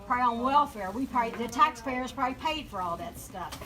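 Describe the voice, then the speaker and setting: An older woman berates someone in a store line, her high voice sharp and coarse. She talks loudly and bluntly, recorded on a phone video in the shop. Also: expressive, fast talker, background noise. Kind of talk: angry ranting in public.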